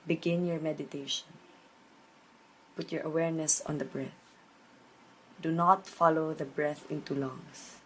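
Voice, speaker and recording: A woman leading a guided meditation, giving measured instructions with pauses between phrases. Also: sits low, fairly bright tone, a little hiss or hum, rough recording. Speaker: meditation teacher.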